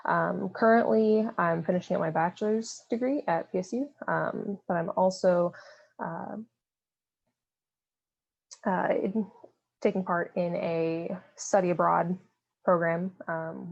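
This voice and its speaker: A young woman who tutors language, introducing herself in a relaxed, hesitant way with many fillers. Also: on the low side, vocal fry, muffled recording. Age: young adult. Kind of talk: casual self-introduction on a video call.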